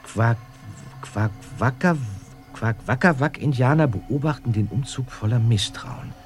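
An actor playing an easygoing, bookish teenage boy detective in an audio drama, with a halting, wondering delivery. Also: mid-range voice, faint music behind, close to an everyday voice. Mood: reading aloud. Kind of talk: German audio drama acting.

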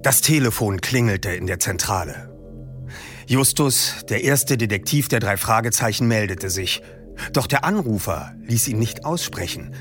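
A man's voice: lowish voice, expressive, measured pace, a bit bright, soft background music. A man hosting a podcast who introduces the episode and then reads a passage from a book aloud. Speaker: podcast host and audiobook reader.

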